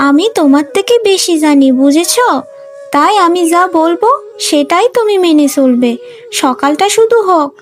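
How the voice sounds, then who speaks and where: Scheming, bossy daughter-in-law for animation, played by a woman; high register, pushy and wheedling. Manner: domineering, wheedling. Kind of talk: cartoon voice acting.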